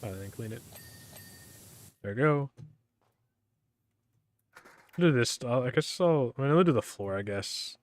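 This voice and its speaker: A young man streaming a game, talking in a casual, rambling way with a monotone delivery, full of fillers and restarts. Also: mid-range voice, a little slow, long pauses. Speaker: gaming streamer.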